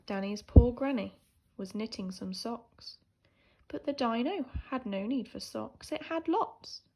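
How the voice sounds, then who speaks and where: A woman teacher reading a children's picture book aloud in an animated, theatrical way, swinging between hushed and emphatic delivery. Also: fairly high pitch, a little slow, a little hiss or hum. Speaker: primary school teacher reading a story.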